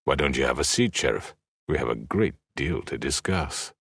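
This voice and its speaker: An actor playing a suave, menacing crime boss in a dramatic video game, husky and falsely courteous, with formal, unctuous phrasing. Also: male voice, very deep voice, expressive, a bit bright, exaggerated voice. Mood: falsely hospitable. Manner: unctuous, formal, measured politeness.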